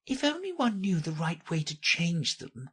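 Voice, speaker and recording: A woman's voice: fairly low pitch, sing-song, normal speed, husky, pitched above the performer's own voice. A woman voicing a polite, puzzled little girl from a children's storybook, earnest and a little timid. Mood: musing.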